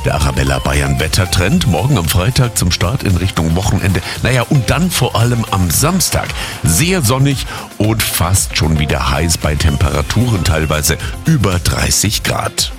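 A man's voice: sits low, very animated, moderate pace. A man presenting music radio and weather in a chatty style.

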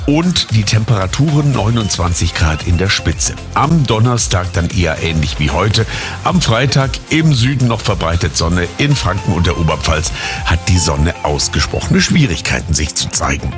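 A man's voice: low voice, big pitch swings, moderate pace, over music. A man giving the radio weather forecast in a casual, chatty way. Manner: casual, chatty address to listeners. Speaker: weather presenter.

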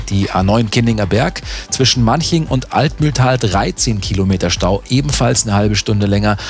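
A man reads out road warnings on the radio with a low, gravelly voice. He is brisk and friendly and speaks right to listeners. Faint music runs underneath. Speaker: traffic reporter. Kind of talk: traffic report.

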